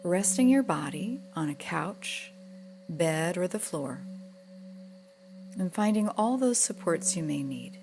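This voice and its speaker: A woman guiding a relaxation meditation in a gentle, soothing, unhurried manner, with soft breathy moments. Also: lowish voice, animated, background noise. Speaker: yoga nidra guide.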